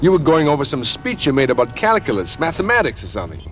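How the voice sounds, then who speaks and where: A man's voice: a little high, very animated, quick pace, a bit bright. An actor playing a tense, weary young man on the defensive in a 1950s radio drama. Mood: urgent, defensive. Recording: old radio broadcast under car noise. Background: car driving noise.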